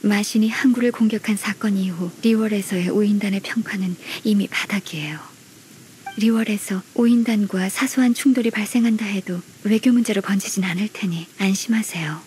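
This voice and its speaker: A woman's voice: mid-range voice, darkish tone, a little hiss or hum, lightly acted. Woman playing a formal, politely stern young secretary. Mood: serious. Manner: formal, measured, politely firm. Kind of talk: Korean anime-style game voice acting.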